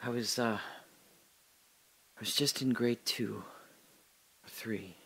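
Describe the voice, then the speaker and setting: An actor playing a man trapped in a dark, airless space, muttering to himself haltingly and drifting into memories in an audio drama monologue. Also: mid-range voice, breathy edge, full character voice, rough recording. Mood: reminiscing.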